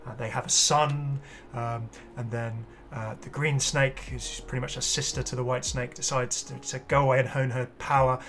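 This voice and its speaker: A man's voice: mid-range voice, little pitch movement, normal speed, hardly any pauses, fairly bright tone, slight background noise. A man giving casual, off-the-cuff video commentary as a gaming host, rambling and hesitant with apologetic asides.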